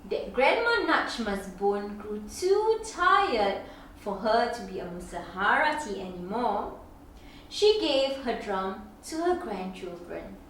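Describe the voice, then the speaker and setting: A woman reads a children's picture book aloud in a very reverberant room, heard at some distance from the mic. Her voice is high and swoops expressively. She phrases each line deliberately, like a storyteller, leaving dramatic pauses. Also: a bit bright. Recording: very echoey room, distant mic, faint noise.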